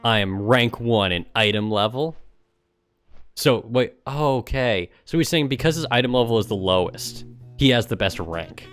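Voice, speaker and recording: A man's voice: medium pitch, lively intonation, normal speed, a bit bright, smooth, faint music behind. A man doing casual, mocking livestream commentary, sometimes reading aloud in a sarcastic way. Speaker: streamer and gamer.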